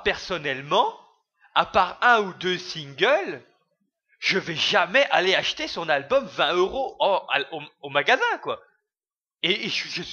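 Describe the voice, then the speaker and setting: Young male vlogger, bright edgy voice, heated rambling rant full of fillers, muffled lo-fi recording. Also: high-pitched. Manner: rambling, heated, crude slang, many fillers. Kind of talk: ranting video commentary.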